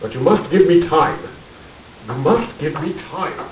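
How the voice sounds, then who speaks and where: Radio play detective, played by a man: grave, intense, formal, brooding, on the high side, heard roomy and distant. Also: lively intonation, measured pace. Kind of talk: radio drama acting. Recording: tinny, roomy, distant, hissy amateur recording.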